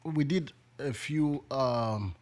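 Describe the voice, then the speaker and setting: A man's voice: mid-range voice, slow pace, darkish tone. A young man hosting a casual podcast, English not his first language, asking follow-up questions and laughing often. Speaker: podcast host.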